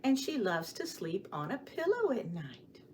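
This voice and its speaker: A woman hosting a story time for young children, speaking to them with questions and expressive emphasis as she shares rhymes and picture books. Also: mid-range voice, measured pace, slight background noise.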